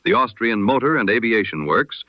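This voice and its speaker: A man reading the news in the style of an old-time radio broadcast, measured and emphatic, with dramatic pauses before key lines. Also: lowish voice, lively intonation, dry recording. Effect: old radio sound. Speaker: newsreader. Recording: dull lo-fi old radio sound.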